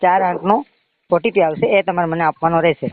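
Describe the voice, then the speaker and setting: A man with a high voice and a Gujarati accent makes a loan sales call. He talks fast and presses his point again and again. The sound is narrow and muffled, as on a recorded phone line. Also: steady tone, no echo. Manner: insistent, pushy, repetitive. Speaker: phone caller claiming to be a bank loan agent.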